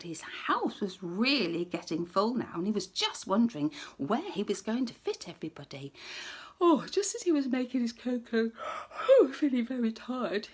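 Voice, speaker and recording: A woman telling a children's story expressively, often dropping to a hush for suspense. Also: fairly high pitch, breathy edge.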